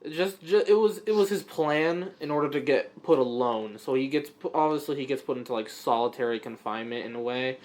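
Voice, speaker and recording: A man co-hosting a comic-book podcast, chatting casually and hesitantly, with frequent pauses and restarts. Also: mid-range voice, a little mellow. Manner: hesitant, lots of uh and false starts. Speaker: podcast co-host.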